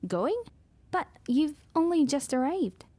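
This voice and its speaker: A woman's voice: high-pitched, big pitch swings, takes it slowly, dry recording, full character voice. An actress playing a demure, agreeable nineteen-year-old society lady in a period audio drama, with a proper, polite way of speaking. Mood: anxious, obliging. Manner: proper, polite, soft-mannered.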